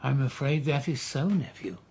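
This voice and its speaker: A worldly, fond old schemer of an uncle. His husky voice swoops widely up and down, in full radio drama style. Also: mid-range voice, lively intonation, normal speed, darkish tone. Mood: wistful.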